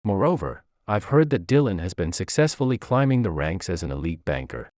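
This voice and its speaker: Male narrator as CEO, composed, firm, low pitch, dry sound, story dialogue. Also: sing-song, a little fast, a little mellow, no echo. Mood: cold, firm. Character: stern, composed male CEO delivering judgement.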